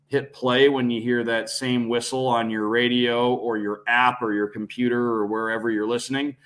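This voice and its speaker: A man's voice: medium pitch, level tone, moderate pace, fairly bright tone. A man who works as a sports radio broadcaster, explaining details fluently in a conversational podcast guest style.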